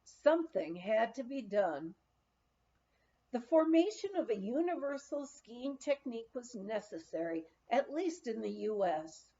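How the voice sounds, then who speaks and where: A woman reading a memoir aloud in a halting manner, sometimes stumbling over words and going back over them. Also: on the high side, dark tone, highs cut off. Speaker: volunteer audiobook reader.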